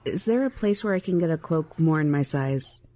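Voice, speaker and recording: A man voicing a polite, reserved teenage prince in an audiobook story, soft-spoken and courteous. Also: on the high side, lively intonation, moderate pace, a little hiss or hum, pitched above the performer's own voice, natural voice.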